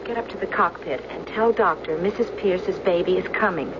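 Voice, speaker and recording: An actress in a radio drama playing a calm, commanding stewardess who takes charge in an emergency. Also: female voice, lowish voice, normal speed, lightly acted. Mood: brisk, controlled. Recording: dull, noisy old radio drama recording. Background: aircraft cabin drone.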